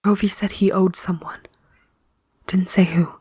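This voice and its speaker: A woman acting in an audio drama as a wry, weary prisoner, sardonic and often hushed. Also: medium pitch, husky, no room sound. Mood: urgent, hushed. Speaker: voice actor in a fiction podcast.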